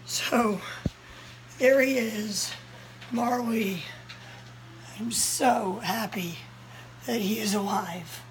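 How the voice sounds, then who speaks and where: A young woman, hoarse and a little strained, talking straight into a phone held close. Her voice sits fairly high, and a faint hum runs underneath. She tells her story in a rush, urgent and breathless. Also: very slow, pauses often, poor audio. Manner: breathless, urgent retelling of a scare. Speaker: cat vlogger. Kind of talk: casual vlog storytelling. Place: small red-walled room indoors. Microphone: phone camera held close, low angle.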